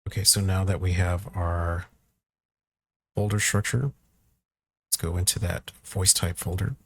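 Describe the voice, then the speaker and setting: A man with a low, creaky voice talks through a software tutorial at a slow pace. He is informal and thinks aloud as he works, recorded close on the mic. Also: level tone, no room sound. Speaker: tutorial presenter. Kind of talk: casual screen-recorded software walkthrough.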